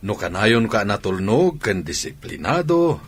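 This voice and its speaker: A man's voice: on the low side, very animated, unhurried, husky, exaggerated voice. A man acting as an encouraging, authoritative sports coach in a radio drama, with an Ilocano Filipino accent. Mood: firm, encouraging.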